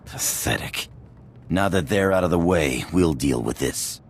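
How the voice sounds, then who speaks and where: An actor voicing a gruff, contemptuous terrorist enforcer in a video game, curt and menacing. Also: male voice, deep voice, lively intonation, a little slow, a little hiss or hum.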